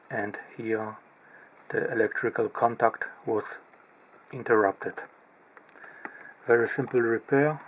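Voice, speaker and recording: Brief: man with a low voice, slow and methodical, halting pauses, faint hum behind. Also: poor audio. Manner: halting, pauses mid-phrase while pointing at parts. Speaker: electronics repair hobbyist. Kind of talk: slow, methodical narrated teardown.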